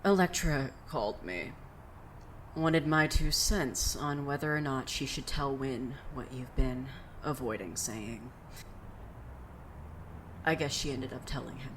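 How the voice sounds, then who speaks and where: An actor playing a sardonic, profane young rescuer with a vengeful streak and a dry, deadpan delivery in an audio drama. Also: mid-range voice, a little slow, close to an everyday voice. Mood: dry, teasing. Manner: deadpan, dry, hesitant in places. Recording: clear noise behind the voice.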